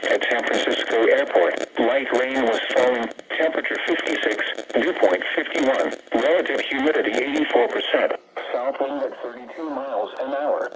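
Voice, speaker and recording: A computer-voiced man reading automated weather observations in a steady, list-like way. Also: mid-range voice. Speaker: weather radio announcer. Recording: noisy radio reception.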